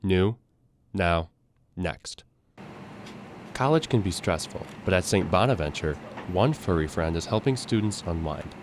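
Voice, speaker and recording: Young guy reading news voiceover, pretty low voice, student tv reporter style. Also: expressive, a little slow, pauses often, a little hiss or hum. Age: young adult. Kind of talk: scripted news narration.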